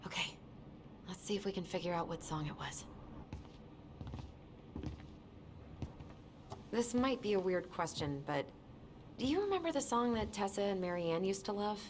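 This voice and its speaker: A woman's voice: medium pitch, animated, a little fast, roomy sound, big-room sound, noisy background, lightly acted. A woman playing a guarded, skeptical young woman in a naturalistic video game cutscene. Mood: curious, tentative.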